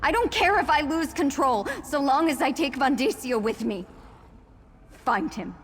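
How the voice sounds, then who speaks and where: A woman's voice: high-pitched, expressive, moderate pace. An actress playing a fierce, reckless young woman in a video game, impassioned and defiant in her grief. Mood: vengeful, desperate. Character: vengeful grieving young sister, fierce and reckless. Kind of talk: video game character acting. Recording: reverberant hall, game music behind.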